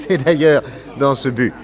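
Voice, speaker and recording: A man's voice: on the high side, huge pitch swings, slow pace, crowd noise, full character voice. A man playing a suave, confident, commanding detective in a French radio crime drama. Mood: genial, gallant.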